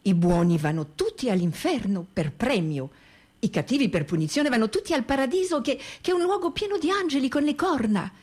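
A woman's voice: on the high side, animated, a little fast, darkish tone, slightly rough. A woman playing a dogmatic teacher of an upside-down world in a didactic, absurd radio theatre monologue. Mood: dogmatic, instructing.

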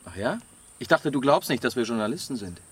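An actor playing a young, pragmatic German journalist in a radio drama, plain-spoken and conversational. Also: male voice, medium pitch, very animated, a little fast, slightly rough. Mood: wary, pragmatic.

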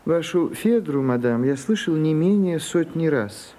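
A fussy, put-upon male secretary in a theatrical radio drama. His voice sits fairly high and moves about a lot, soft-edged in tone, with servile politeness and dry opinions. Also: lively intonation, measured pace. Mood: dryly exasperated. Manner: deferential yet dryly opinionated. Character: fussy, long-suffering male secretary to an old actress.

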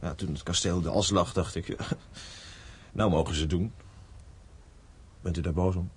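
A man's voice: low voice, fairly even intonation, a little slow, slight background noise. A man acting in a Dutch radio drama as a young cattle herder, plain-spoken, blunt and a little cheeky. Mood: wary, frank.

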